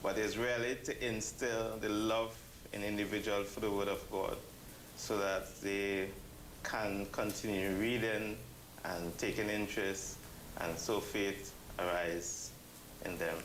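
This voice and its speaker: Low, roomy-sounding man. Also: level tone, a little slow, a bit bright. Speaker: interview guest, event committee member. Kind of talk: interview answers. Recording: roomy echo, faint ambient noise.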